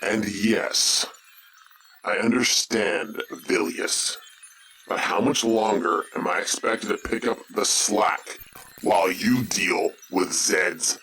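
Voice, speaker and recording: An actor playing a proud, stern mercenary count commanding a warship in an audio drama, authoritative and menacing. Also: male voice, fairly low pitch, slow pace, sharp tone. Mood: stern, demanding. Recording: faintly noisy recording.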